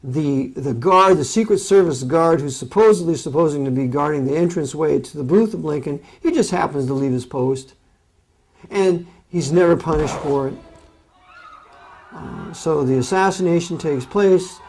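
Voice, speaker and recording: Male history commentator, slightly high voice, matter-of-fact, unscripted step-by-step telling. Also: normal speed, a little mellow, breathy edge, rough recording.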